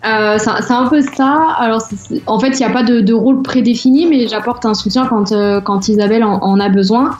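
A woman in her twenties, a guest on a radio interview, speaking haltingly and repeating words. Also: on the high side, fairly even intonation, darkish tone, faint music behind. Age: 20s. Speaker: association volunteer. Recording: roomy, dull audio.

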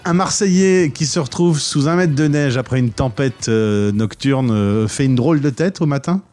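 A man from France interviewing a guest, asking brisk, teasing questions. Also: medium pitch, a little mellow, soft background music. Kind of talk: radio interview.

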